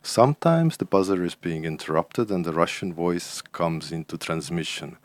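A man's voice: deep voice, normal speed, no echo. A man hosting a podcast in casual chat, often repeating words as he searches for the next one. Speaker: podcast host.

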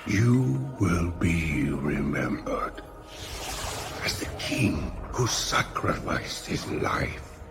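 An actor playing a menacing villain who pronounces doom slowly in a gravelly voice, in a game cinematic. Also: male voice, very animated, heavily acted. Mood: menacing, solemn. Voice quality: deep, gravelly. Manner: slow, ominous, drawn out. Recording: film audio under loud music.

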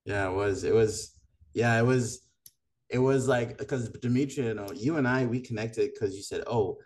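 A man's voice: medium pitch, normal speed, a little mellow. A man from Madagascar hosting a podcast, chatting casually and laughing easily. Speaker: podcast host. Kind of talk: casual chat.

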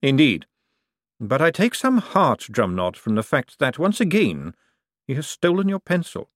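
A man voicing a cool, calculating older ruler who speaks dry, precise and deliberate in an audiobook. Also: a little high, wildly swooping pitch, no room sound, pitched above the performer's own voice. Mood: dry, knowing.

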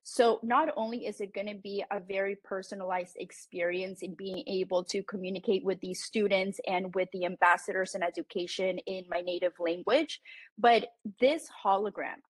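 A woman's voice: mid-range voice, fairly even intonation, normal speed, no room sound, low-quality recording. Earnest woman in dry close sound. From Colombian American. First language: Spanish. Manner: earnest, repeats words and restarts often. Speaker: founder and CEO of a tutoring company. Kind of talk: podcast guest explaining her business.

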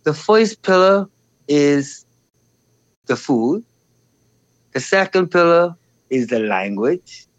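A man's voice: a little high, lively intonation, no room sound, rough recording. A man with a Bahamian accent, a dance educator talking easily as a guest, chuckling now and then. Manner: easygoing, chuckling, measured when explaining. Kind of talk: casual interview talk.

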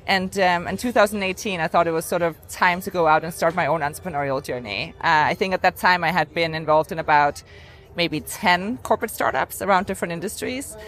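A woman with a Danish accent and a somewhat low voice talks as a podcast guest. She tells her story conversationally and reflectively, rephrasing as she goes. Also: brisk, a bit bright. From Denmark.

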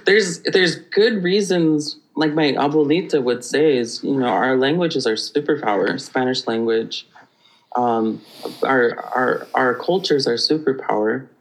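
A man from Hawaii, a podcast guest telling stories in an animated, rambling way with many restarts. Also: medium pitch, unhurried, poor audio. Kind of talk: conversational storytelling.

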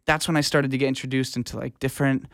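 A man's voice: medium pitch, flat delivery, fast, vocal fry, fairly bright tone, no room sound, studio quality. A young adult man telling his story as a guest in a casual, informal conversational style. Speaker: interview guest, audio engineer.

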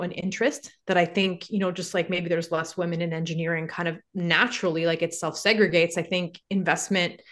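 A woman speaks as a podcast guest. Her voice sits a little low for a woman. She talks loosely, circling her points with "you know" and "like". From Canada. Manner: conversational, many fillers like "you know" and "like". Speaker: guest, real estate investor. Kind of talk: podcast interview answers.

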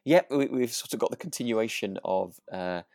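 A man's voice: lowish voice, lively intonation, normal speed, gravelly, a little mellow, dry recording, clean studio sound. A man co-hosting a casual review podcast, agreeable and a little hesitant, often chuckling as he talks. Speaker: co-host of a radio drama review podcast. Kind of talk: casual chat.

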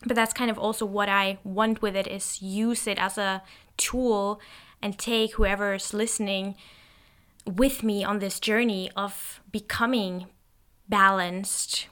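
A young Norwegian woman, tired, talking in a hesitant, reflective way, with many pauses and fillers. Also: medium pitch, pushed and bright, clean tone. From Norway. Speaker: podcast host. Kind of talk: casual solo monologue. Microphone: testing a new microphone.

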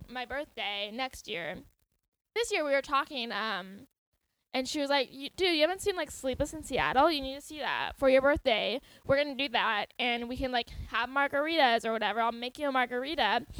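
A woman's voice: fairly high pitch, a bit bright, poor audio. A twenty-year-old woman co-hosting a podcast, chatting casually in a bubbly, giggly way with lots of "like.". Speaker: podcast co-host. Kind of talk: casual chat.

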